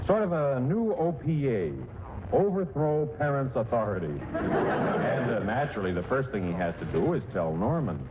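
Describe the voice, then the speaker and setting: A man's voice: mid-range voice, expressive, moderate pace, a crowd in the background. An American man with a dry, wry manner, narrating a 1940s radio comedy as host. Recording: noisy, tinny 1940s radio recording.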